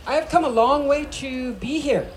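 A woman from North America giving a ceremonial opening speech, solemn and deliberate, in short phrases with pauses. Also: sits high. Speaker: ceremony speaker opening a women's circle.

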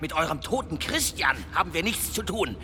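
A blunt, gruff village smith and father in a fantasy radio play. The voice is animated and a little high, with music behind it. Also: a little fast. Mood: vengeful. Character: vengeful village blacksmith, gruff grieving father. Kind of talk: German fantasy radio drama acting.

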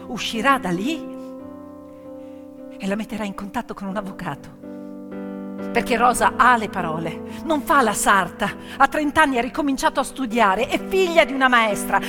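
A woman performing a dramatic theatrical narrative, with emphatic, deliberate phrasing in short sentences. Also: fairly high pitch. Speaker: stage storyteller and actress. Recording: long reverberant hall, piano music behind. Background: piano accompaniment.

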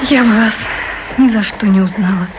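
A woman's voice: medium pitch, normal speed, background noise, lightly acted. An actress playing a refined, ardent woman in love, emotional and theatrical, in a Russian period radio drama. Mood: moved. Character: Anna, refined, ardent woman in love, early 20th century.

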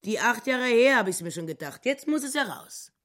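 An actress playing a petty, self-righteous housekeeper turned wife in a radio drama, indignant and insistent. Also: female voice, on the high side, expressive, normal speed, a bit bright.

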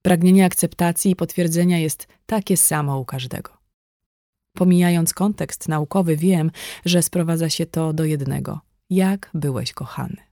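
A woman's voice: low-pitched, normal speed, dark tone, no echo. Woman narrating an audiobook, dry sound. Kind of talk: scripted first-person nonfiction audiobook narration.

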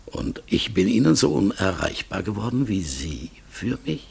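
A man playing a melancholy outcast talking raven in a radio play, solemn, gravelly and husky, sometimes sinking into whispers. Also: lowish voice, very animated, exaggerated voice. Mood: anguished, forlorn. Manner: slow, solemn, mournful.

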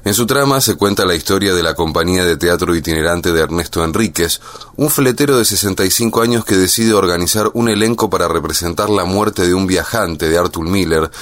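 A man's voice: deep voice, brisk, fairly bright tone. A man reading a scripted, informative radio report as its narrator.

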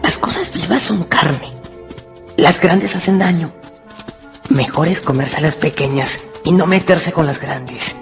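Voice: medium pitch, measured pace, creaky. An actor voicing a naive, fearful young male wolf cub in a radio drama. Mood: earnest, learning. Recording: music under the voice.

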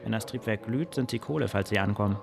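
A man's voice: fairly low pitch, fast talker, gravelly. An actor in a radio play playing a disillusioned, searching man who broods in clipped, rhetorical phrases. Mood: disillusioned brooding. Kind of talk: German radio play acting.

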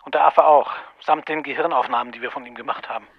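An actor playing a desperate, shaken institute director in a radio drama, anxious and faltering. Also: male voice, medium pitch, fairly even intonation, fast, gravelly, dry recording, highs cut off.

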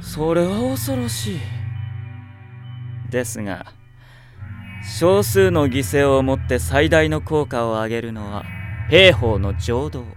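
Silky, taunting villain sorcerer, lively swoops. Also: male voice, mid-range voice. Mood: mocking, calm. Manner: mockingly polite, unhurried, taunting. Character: scheming young male enemy sorcerer, mockingly polite, cruel. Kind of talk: Japanese radio drama acting. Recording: drama production with music behind.

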